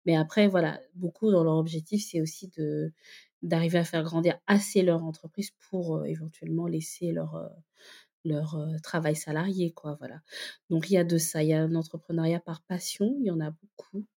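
A woman being interviewed in a casual conversation, founder of a community for entrepreneur mothers, explaining her views and often pausing to find her words. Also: sits low, steady tone, creaky, mellow, slightly rough. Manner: explanatory, thinks aloud with many hesitations.

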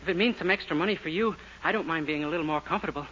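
Noisy muffled vintage radio, man acting naive young boarder, earnest, flustered, quick-talking, sitcom delivery. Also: on the high side, lightly acted. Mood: meek, innocent. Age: young adult. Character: naive, lovesick, jealous young male boarder.

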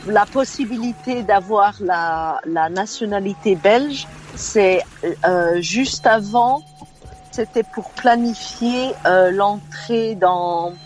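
A woman's voice: mid-range voice, slow, soft background music. A woman in her fifties from Belgium, calling into a radio show by phone and giving her opinions frankly, often restarting her sentences. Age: 50s. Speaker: radio caller. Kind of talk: call-in radio chat.

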